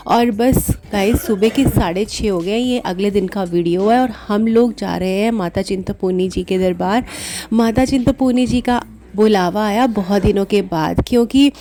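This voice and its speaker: Mellow-voiced woman vlogger narrating. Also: mid-range voice, slight background noise. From Punjab. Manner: chatty, homely. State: sore throat. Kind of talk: voice-over narration.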